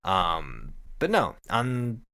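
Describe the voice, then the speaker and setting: Animated, bright-voiced man recounting a story. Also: deep voice, extremely slow, creaky. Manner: profane, animated retelling. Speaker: podcast host. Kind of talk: casual storytelling recap with co-hosts.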